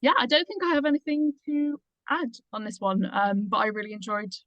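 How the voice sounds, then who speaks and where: A woman's voice: fairly high pitch, fairly even intonation, a little fast, a bit bright, no echo. A woman on a reading panel giving casual spoken feedback, in dull lo-fi sound.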